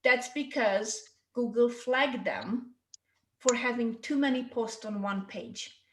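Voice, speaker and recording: A woman's voice: on the high side, lively intonation, takes it slowly, fairly bright tone, echoey room, a little hiss or hum, low-quality recording. A woman from Hungary with a Hungarian accent, presenting a marketing workshop in an informal, chatty way and often checking in with her listeners. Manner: chatty, checks in with "okay?" and "right?". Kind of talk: informal online teaching talk.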